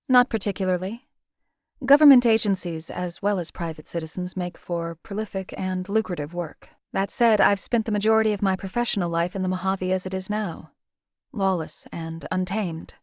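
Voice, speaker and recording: An actress voicing a cold, detached female hired assassin for a game, speaking in a measured, formal, deadpan way. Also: lowish voice, no echo, studio quality, close to an everyday voice. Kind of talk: game companion voice lines.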